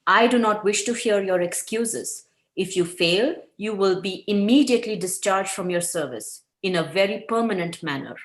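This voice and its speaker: A woman voicing a stern, coldly menacing female spy boss, sharp and formal, in a roomy recording. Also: medium pitch, normal speed, close to an everyday voice. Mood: cold menace. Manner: sharp, clipped formal threats.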